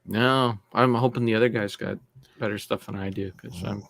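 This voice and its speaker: Man, co-host, gravelly voice, low-key hesitant casual chat, dry close sound. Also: fairly even intonation, moderate pace, dry recording. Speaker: regular co-host. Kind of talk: casual live-stream chat.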